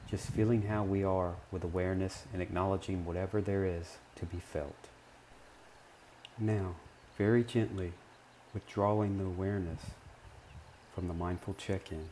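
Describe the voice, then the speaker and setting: A man leading a guided meditation, speaking gently and in measured short phrases with long pauses between them. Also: low-pitched, steady tone, slight background noise.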